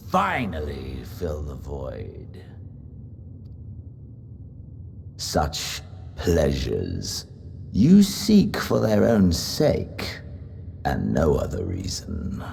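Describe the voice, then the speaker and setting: An actor playing a battle-hungry, brooding warrior rival in a video game, with grave, theatrical, deliberate delivery and a gravelly voice. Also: male voice, lowish voice, darkish tone, a little hiss or hum, exaggerated voice. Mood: intense goading. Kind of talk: dramatic video game voice acting.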